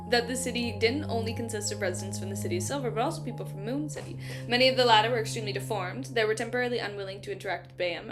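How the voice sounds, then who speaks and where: A woman's voice: a little high, fairly bright tone. A woman casually chatting and reading a novel aloud. She laughs often, uses many fillers and still stutters on some words. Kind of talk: casual chat between passages of a novel read aloud.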